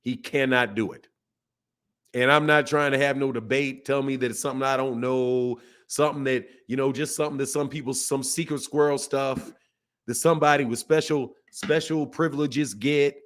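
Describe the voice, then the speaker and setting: A man hosting a casual boxing livestream and talking to his chat. His voice is hoarse from yelling, and he is emphatic, repeats points and often calls listeners bro or man. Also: medium pitch, steady tone, moderate pace. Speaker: boxing channel host.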